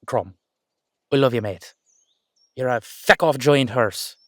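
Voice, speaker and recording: A man plays an Irish dullahan rider in a roleplay audio drama, exasperated yet fond. He has a mid-pitched male voice and talks in slangy, coaxing, teasing banter. The sound is dry and close. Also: slow pace, a bit bright, no echo. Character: exasperated, affectionate Irish dullahan rider talking to his horse.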